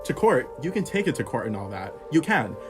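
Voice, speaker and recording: An actor playing an irascible old landowner father in a stage comedy, pompous and blustery, quick to insult. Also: medium pitch, big pitch swings, soft background music, natural voice. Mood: scornful, blustering. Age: older. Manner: pompous, blustery, tacks on verbal tags.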